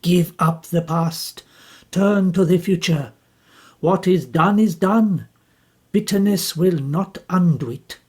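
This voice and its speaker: A woman reading the part of an older male detective, calm and formal, in a slow, deliberate husky voice. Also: on the low side. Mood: grave.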